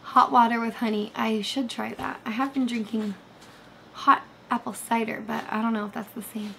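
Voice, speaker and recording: Woman, 30s, host of a bedroom livestream, voice a bit hoarse, higher pitch, faint room hum, chatty and loose. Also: flat delivery, moderate pace, a bit bright. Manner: chatty, reads chat aloud, many fillers. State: slightly hoarse. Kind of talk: casual livestream chat. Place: bedroom, sitting in bed. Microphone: webcam framing.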